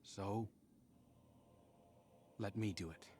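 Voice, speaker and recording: A man playing an earnest, hopeful young hero in a video game, speaking sincerely and persuasively with soft intensity. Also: fairly low pitch, extremely slow, slight background noise. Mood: pleading, determined. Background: faint music.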